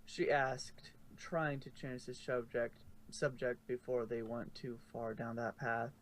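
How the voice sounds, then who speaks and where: Male narrator, mid-pitched, storybook reading style. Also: flat delivery, slow, slight background noise, rough recording. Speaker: narrator of a fan fiction reading. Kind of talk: storybook narration with casual asides.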